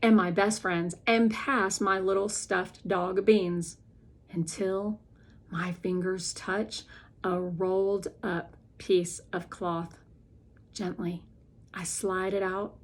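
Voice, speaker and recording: Slow-paced woman reading a children's book aloud. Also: medium pitch, fairly even intonation. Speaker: read-aloud narrator.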